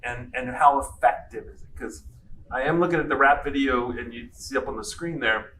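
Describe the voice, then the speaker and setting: A man's voice: mid-range voice, fairly even intonation, moderate pace, pushed and bright. A man moderating a classroom lecture informally as the instructor, recorded at a distance in a noisy room. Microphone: far from the speaker.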